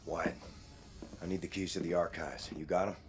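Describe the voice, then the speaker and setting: An actor playing a battle-worn American army captain in a video game, terse, gruff and understated. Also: male voice, sits low, steady tone, normal speed, very noisy, natural voice. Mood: businesslike. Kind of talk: dramatic video game acting.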